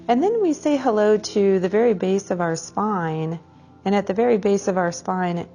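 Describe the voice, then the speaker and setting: A woman leading a guided meditation for a class in a soothing, unhurried way, drawing out phrases and letting the endings trail off softly. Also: on the low side.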